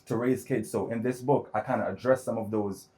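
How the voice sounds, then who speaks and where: A man's voice: mid-range voice, steady tone, mellow. A man, a podcast guest telling his own life story in an earnest, reflective way. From born in Florida, grew up in Jamaica. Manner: reflective, earnest, builds points slowly. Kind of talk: personal storytelling in conversation.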